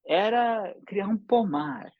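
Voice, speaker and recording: A man's voice: sits high, slow pace, dry recording. A man in his seventies, a polyglot, chatting casually over a video call and telling stories with playful wordplay. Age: 70s. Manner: storytelling, playful with wordplay jokes. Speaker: polyglot language exchange partner. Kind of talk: casual multilingual conversation. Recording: dull lo-fi sound.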